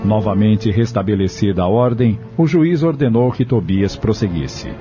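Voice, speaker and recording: Low-pitched male audio drama narrator. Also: expressive, moderate pace. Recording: muffled lo-fi audio over music.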